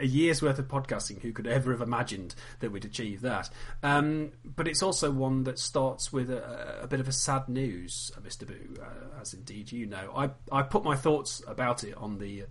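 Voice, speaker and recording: A man's voice: medium pitch, husky. A British man co-hosting a casual comedy podcast, jokey and rambling, often trailing off mid-sentence. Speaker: podcast co-host.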